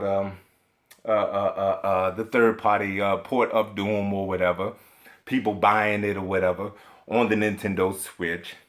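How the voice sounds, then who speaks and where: A man's voice: fairly low pitch, a bit bright. A man ranting profanely about video games, emphatic and indignant, stumbling over repeated fillers as he hunts for words. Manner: emphatic and indignant, heavy swearing; rambling with stacked filler hesitations. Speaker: video game commentator. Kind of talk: profane ranting vlog commentary.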